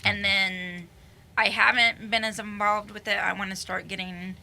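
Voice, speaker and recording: A woman from Kansas who is a guest on a radio interview and gives brief, soft-spoken answers. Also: mid-range voice, level tone, normal speed, creaky. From Kansas, USA.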